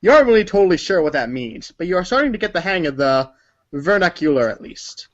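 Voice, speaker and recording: A man's voice: sits high, sing-song, fast. A man reading scripted narration for a read-along story performance, in a dull recording.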